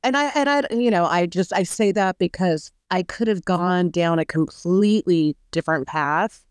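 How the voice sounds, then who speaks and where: A woman in her fifties from Southern California, a podcast guest telling her story in an earnest, halting way. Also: lowish voice, clear, resonant tone, dry recording, studio quality. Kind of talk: personal storytelling interview.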